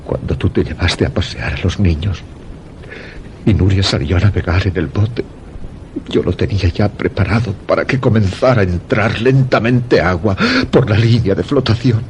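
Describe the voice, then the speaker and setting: An actor playing an obsessive, unhinged widowed master of a house in a suspense radio drama, courteous yet intense. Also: male voice, sits low, moderate pace, a little mellow, slight background noise, close to an everyday voice. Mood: tormented, obsessive. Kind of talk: Spanish-language suspense radio drama acting.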